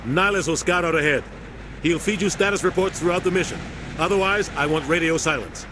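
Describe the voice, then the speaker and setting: A man's voice: fairly high pitch, fairly quick, close to an everyday voice. A man acting a stern, authoritative starship captain in a video game, giving clipped orders over vehicle noise. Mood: firm, urgent. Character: stern commanding older military starship captain.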